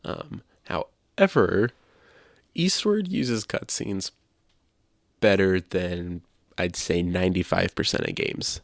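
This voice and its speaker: Deep, gravelly-voiced man podcasting. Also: slow, dry recording, clean studio sound. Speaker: podcast host. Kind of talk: casual opinionated discussion.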